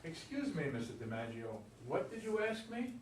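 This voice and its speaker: A man's voice: high-pitched, big pitch swings, moderate pace. A man playing a nervous middle-aged baseball fan in an amateur staged play reading. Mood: earnest. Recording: echoey room, far from mic, background noise.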